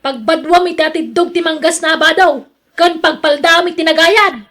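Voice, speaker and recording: Radio drama role: jealous Ilocano wife played by a woman, high pitch, stubborn, suspicious, nagging. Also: steady tone, normal speed, a bit bright. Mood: indignant, scolding.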